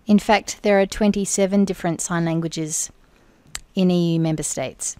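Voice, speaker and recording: A woman interpreting for an interview guest in the first person, speaking in measured, deliberate phrases. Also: lowish voice, steady tone, dark tone, clear, resonant tone, no room sound. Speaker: sign language interpreter voicing the guest.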